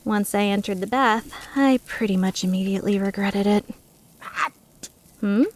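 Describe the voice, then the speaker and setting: A woman's voice: mid-range voice, moderate pace, lightly acted. A woman playing a flustered, skeptical young woman who narrates her own story introspectively in a fan-dubbed drama. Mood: puzzled, reflective. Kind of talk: fan dub drama with first-person narration.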